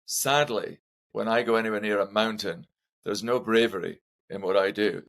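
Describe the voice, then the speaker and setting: A man's voice: fairly low pitch, fairly even intonation, moderate pace, a bit bright. A Scottish man hosting a podcast interview, chatty and self-deprecating, asking eager questions. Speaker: podcast host. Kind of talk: casual interview.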